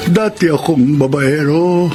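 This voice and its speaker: High-voiced, gentle, fatherly older man, played by an actor; music under. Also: measured pace, natural voice. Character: kindly older man, reformed, gently counseling. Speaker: Tate Alfredo, elderly neighbor. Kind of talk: radio drama acting. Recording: radio drama with clear music bed.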